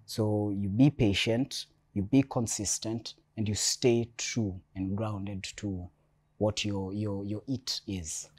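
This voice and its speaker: A Kenyan man from Nairobi speaking as a guest on a panel, measured and reflective, often restarting his sentences. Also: on the low side, fairly even intonation, a little mellow, slightly rough. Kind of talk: panel discussion. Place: hotel event space.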